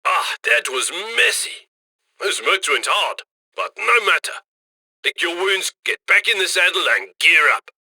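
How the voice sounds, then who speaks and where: A man's voice: high voice, expressive, unhurried, creaky, sharp tone, husky, no echo, studio quality, heavily acted. An actor playing a cocky, chummy male heist handler in a video game, with a wry, folksy, upbeat manner. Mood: brisk, determined. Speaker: mission handler.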